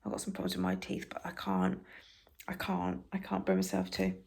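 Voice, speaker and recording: A British woman with a raspy voice, softly reading newspaper stories for ASMR and adding wry, halting asides. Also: rumbling low, very animated. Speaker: ASMR creator.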